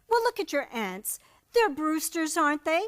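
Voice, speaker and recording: A woman plays an insistent young fiancée in a radio play. Her voice is high and highly expressive, coaxing with an exasperated edge. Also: normal speed. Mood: reassuring.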